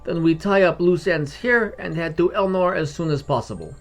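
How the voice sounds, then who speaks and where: Dude doing a dragonborn paladin, solemn n blunt, clipped grammar, pushed up higher, fantasy podcast. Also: male voice, moderate pace, soft background music, lightly acted. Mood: firm. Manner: clipped foreign-style grammar, dropping articles.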